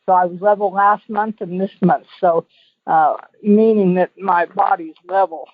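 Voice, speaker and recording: A woman's voice: mid-range voice, fairly even intonation, a little slow, no echo. Chatty woman on a tinny phone line. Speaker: call-in guest giving a testimonial. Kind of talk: casual rambling personal testimonial. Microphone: telephone.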